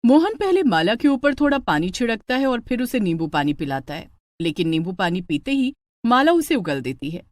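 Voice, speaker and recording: A woman's voice: medium pitch, big pitch swings, moderate pace, hardly any pauses, no room sound, studio quality. A woman narrating a scripted family story in a dramatic storytelling style.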